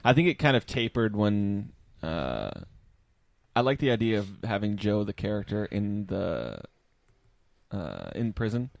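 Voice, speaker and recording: A man's voice: fairly low pitch, very animated, measured pace, creaky, dry recording, clean studio sound. A man co-hosting a casual podcast, joking and slipping into mock voices. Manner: joking, slips into mocking voices. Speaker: podcast co-host. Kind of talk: casual chat.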